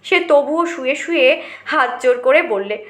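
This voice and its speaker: A woman's voice: sits high, expressive, unhurried, a bit bright, reverberant. A woman reading a short story aloud as dramatic scripted narration.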